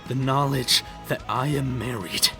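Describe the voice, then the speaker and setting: A man's voice: medium pitch, a little slow, bright, forceful tone, soft background music. An actor playing a bitter, unhinged widowed middle-aged father, sardonic and menacing, in a dramatic comic dub. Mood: furious, desperate.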